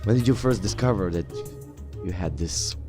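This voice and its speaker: A man roleplaying his video game character aloud, speaking in a halting, polite and dramatic way. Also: low-pitched. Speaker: gamer voicing his player character. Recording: game music behind the voice.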